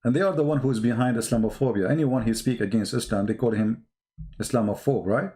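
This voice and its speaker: A man's voice: medium pitch, quick pace, dark tone. Emphatic man, non-native English speaker. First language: not English. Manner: emphatic, repetitive, rhetorical questions. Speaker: online commentator. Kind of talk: impromptu polemical commentary.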